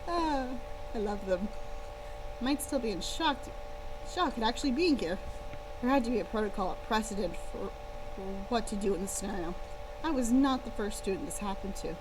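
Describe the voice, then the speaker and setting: A woman reading a first-person story aloud on a live stream, expressive and playful, with casual asides. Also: mid-range voice, moderate pace. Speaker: author-style reader and streamer. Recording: clear background hum and noise.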